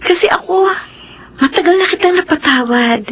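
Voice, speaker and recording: An actress with a Filipino accent playing a forgiving former lover and mother who speaks gently and reassuringly, in a radio drama. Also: high-pitched, expressive, normal speed. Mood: gentle.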